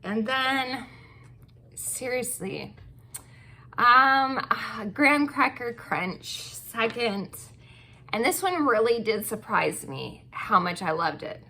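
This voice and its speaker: A woman's voice: on the high side, expressive, slow pace, pushed and bright. A woman hosting her own channel, chatting casually and gushing with many ums while losing her voice. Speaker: channel host reviewing wax melts. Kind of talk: casual product review.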